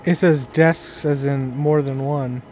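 A man's voice: mid-range voice, fairly even intonation, slow pace, a little mellow. A man chatting casually while playing a co-op video game with friends. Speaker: co-op gaming partner. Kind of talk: casual co-op gaming chat. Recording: faint game ambience noise. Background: game ambience, horse sounds.

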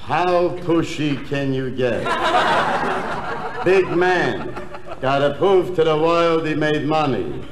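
Gruff stingy husband, slow delivery, swooping pitch, 1960s comedy record, reverberant hall. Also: male voice, lively intonation, very noisy. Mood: indignant, boastful. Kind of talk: 1960s comedy album sketch acting.

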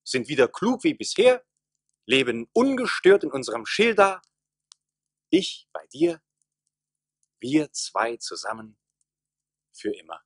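Dry-recorded earnest, sly village cooper. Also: male voice, medium pitch, very animated, takes it slowly, long pauses, dry recording. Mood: pleading. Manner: declamatory storytelling. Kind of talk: German radio play acting.

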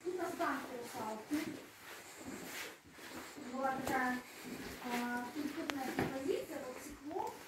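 A woman with a high voice leads an informal cave tour. She explains in fragments, pausing often as she goes. Her words echo off the rock, over clearly audible noise. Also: animated. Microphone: handheld camera a few steps away. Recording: echoey, distant, noisy cave recording.

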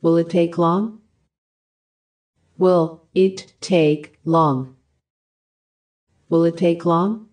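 A woman reading short English phrases for a language lesson, each one repeated with careful, even enunciation. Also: on the low side, very slow, pauses often. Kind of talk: slow repeated phrase drills.

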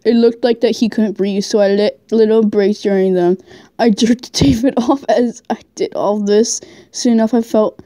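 A woman's voice: mid-range voice, steady tone, moderate pace, mellow, no echo. A woman reading fan fiction aloud casually as an amateur, giggly and stumbling over the text. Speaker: fan fiction reader, channel host.

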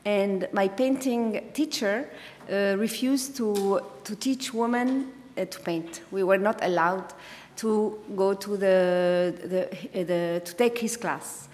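Halting woman speaker in a reverberant hall. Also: medium pitch, a little mellow, a little hiss or hum. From Egypt. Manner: halting, many fillers and restarts. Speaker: artist giving a symposium talk. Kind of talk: unscripted lecture with slides. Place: dark auditorium, speaking at a podium. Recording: long hall reverb, speaker far from mic.

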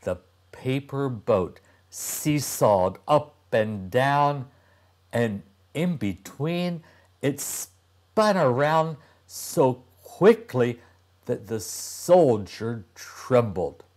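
A man in his sixties reading a storybook aloud to young children, deliberately and with dramatic pauses. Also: mid-range voice, lively intonation, a little mellow. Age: older, about 60s. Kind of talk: expressive storybook narration.

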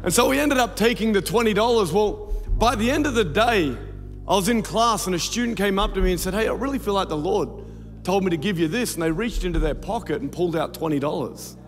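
High-voiced Australian man telling a church story. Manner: storytelling, then slow prayer. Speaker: pastor giving offering message. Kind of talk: church offering talk and prayer. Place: large arena church stage. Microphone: handheld mic. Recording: reverberant arena with music.

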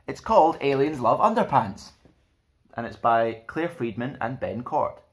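Young man, children's storytime host, very lively pitch swings, medium voice, expressive delivery. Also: unhurried. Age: young adult. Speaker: storytime host reading a picture book. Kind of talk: expressive children's read-aloud with friendly presenting. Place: small plain indoor room.

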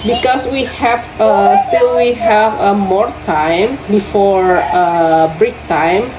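A woman from Indonesia with an Indonesian accent teaching English to young students in an online lesson. She speaks haltingly, repeats her questions and fills her pauses with "uh". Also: medium pitch. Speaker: English tutor. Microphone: lesson audio recorded on a phone. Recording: roomy phone recording with faint noise.

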